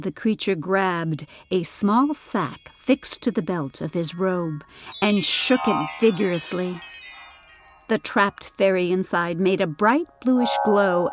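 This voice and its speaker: A woman narrating a scripted story for an audiobook in a measured, literary reading style. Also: lowish voice.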